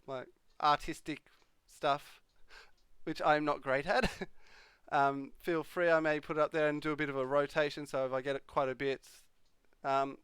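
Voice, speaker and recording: A man's voice: on the high side, level tone, normal speed, a bit bright, clean tone, no echo. A young man hosting a live stream, chatting casually and answering viewers' questions. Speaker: livestream host. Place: home streaming room.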